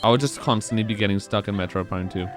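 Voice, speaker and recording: A man's voice: fairly low pitch, steady tone, fast, music in the background. A man in his twenties speedrunning on a live stream, rambling casually and answering chat between moves.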